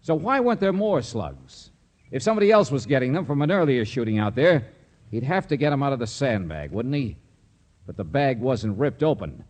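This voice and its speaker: A man playing a tough, sharp-tongued private detective in an old American radio drama, needling and insistent. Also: medium pitch, very animated, a little fast. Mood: accusing, forceful. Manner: hard-boiled, needling, insistent. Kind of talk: 1940s-50s American radio drama acting. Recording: vintage radio drama recording.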